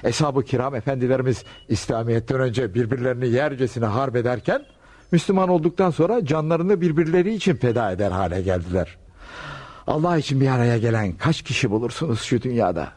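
A man's voice: mid-range voice, expressive, brisk, darkish tone, close to an everyday voice. A male actor playing a kindly, wise Sufi master in a radio play, solemn and fatherly. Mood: fervent preaching. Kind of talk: Turkish radio theatre acting.

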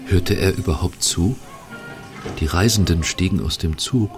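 A man's voice: low-pitched, a little slow, over music. A man narrating a German radio drama in a scripted, literary style. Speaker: second narrator.

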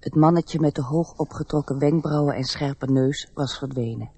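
Husky melancholy storyteller. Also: female voice, low-pitched, mellow, dry recording. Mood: sombre. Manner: measured, reflective. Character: gentle, melancholy storyteller of a fairy tale. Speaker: narrator. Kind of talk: scripted radio play narration.

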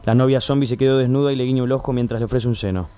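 A man's voice: medium pitch, fairly even intonation, rapid-fire, mellow, clean tone, lightly acted. A man with an Argentine accent playing a self-important, bossy film director in a comic radio sketch. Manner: curt commands, pompous pronouncements.

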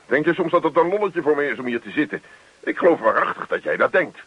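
Casting: exasperated middle-aged husband, worn down by office stress. Husky, swinging delivery, radio drama. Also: male voice, fairly high pitch, big pitch swings, quick pace, no room sound. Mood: grumbling. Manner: grumbling, sarcastic, exasperated. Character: grumpy, weary middle-aged husband, stressed personnel manager. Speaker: actor. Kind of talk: naturalistic radio play acting. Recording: dull, dry radio play recording.

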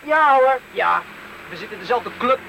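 A woman's voice: a little high, animated, normal speed, gravelly, pushed and bright, a little hiss or hum, heavily acted, poor audio. A woman playing a grumbling, reluctant sidekick in a 1970s Dutch radio play, protesting and then resigned. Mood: grudging.

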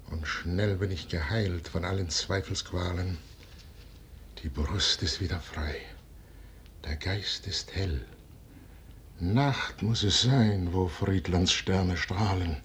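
An actor playing a proud, brooding general in a classical German radio play, declaiming dramatically in verse with a husky voice. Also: male voice, low voice, a little hiss or hum. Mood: grave, resolved. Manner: declamatory, dramatic, shifting from outbursts to hushed brooding. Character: proud, commanding general, betrayed and brooding, superstitious.

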